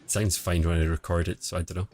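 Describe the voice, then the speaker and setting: A man streaming casual commentary on a mobile game match, quiet and relaxed, answering chat between calls of the play. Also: fast. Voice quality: quiet, low-level voice. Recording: home stream audio with faint music behind. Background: faint background music.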